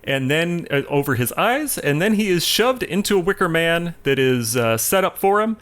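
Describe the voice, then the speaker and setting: A man co-hosting a podcast, telling a story casually in a halting, self-correcting way. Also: on the high side, very animated, fairly bright tone. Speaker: podcast co-host. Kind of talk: casual film recap discussion.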